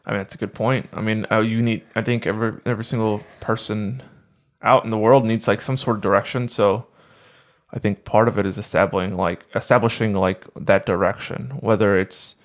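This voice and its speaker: A man co-hosting a podcast, chatting casually in halting sentences with restarts and fillers. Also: fairly low pitch, steady tone. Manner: halting, restarts, many "like"s and "you know"s. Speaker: podcast co-host. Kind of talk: casual chat.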